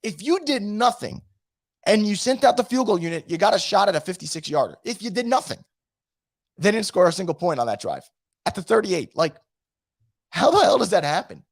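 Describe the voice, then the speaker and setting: Hoarse guy doing a football recap stream, voice going out, bouncy frustrated delivery, real dry sound. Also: high voice, a little fast, no room sound. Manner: animated, frustrated, swearing. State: losing his voice, feeling sick. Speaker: sports podcast host. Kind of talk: casual live-stream recap reading chat.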